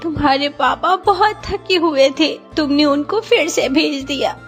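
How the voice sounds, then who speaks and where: A woman's voice: high-pitched, a little slow, faint music behind. A woman voicing a long-suffering cartoon stepmother sparrow, wounded and reproachful. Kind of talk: Hindi cartoon story dialogue.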